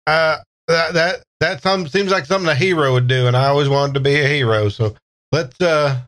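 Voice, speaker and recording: A man acts out an eager, flustered would-be hero for a tabletop roleplay. His voice sits a little high for a man. He stammers and hesitates, anxious to please. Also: brisk, a bit bright, natural voice. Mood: hesitant, resolved.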